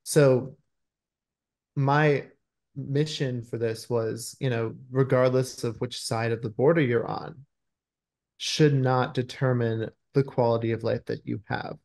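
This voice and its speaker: Creaky-voiced man explaining earnestly. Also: medium pitch, fairly even intonation, takes it slowly, darkish tone. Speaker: screenwriter being interviewed. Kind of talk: conversational interview answers. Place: indoor room at home, video call. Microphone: video call microphone.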